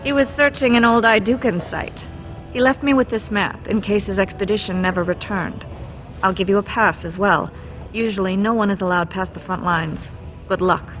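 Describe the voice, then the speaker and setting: Woman voicing a proud dwarf noble lady, game character, formal and composed, soft music behind. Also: medium pitch, steady tone, moderate pace, lightly acted, rough recording. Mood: cool, formal. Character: proud, cool dwarven noblewoman of a great house. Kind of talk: video game voice acting. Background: faint game music.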